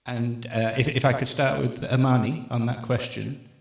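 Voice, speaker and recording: A man moderating a conference panel on stage, upbeat, with quick asides to the audience. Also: mid-range voice, fairly even intonation, vocal fry. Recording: echoey conference hall.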